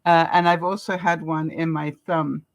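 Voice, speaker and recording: A woman's voice: low-pitched, little pitch movement, normal speed, no echo. Congested older woman chatting live. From Canada. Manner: chatty, with frequent ums and soft laughs. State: stuffed up, congested nose. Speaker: vlogger hosting a live broadcast. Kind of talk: casual live-stream chat.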